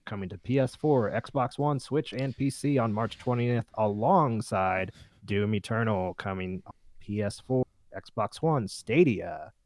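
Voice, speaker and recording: A man's voice: fairly low pitch, no echo. Man reading out lists, dry close sound. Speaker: podcast host. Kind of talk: casual group podcast chat, reading out a list.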